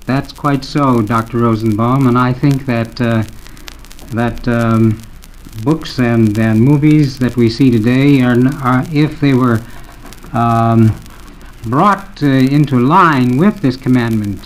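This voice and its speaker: A man speaking haltingly as a guest in a 1940s radio panel discussion. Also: medium pitch, rough recording. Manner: hesitant, halting. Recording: clear noise on old disc recording.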